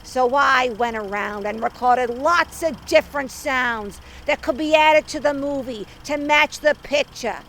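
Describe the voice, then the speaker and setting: A woman's voice: sits high, measured pace, few pauses, a bit bright, a little hiss or hum. A woman playing a chatty old-time male film sound pioneer, explaining things playfully to children. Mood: playful, explanatory. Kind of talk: scripted first-person storytelling for children.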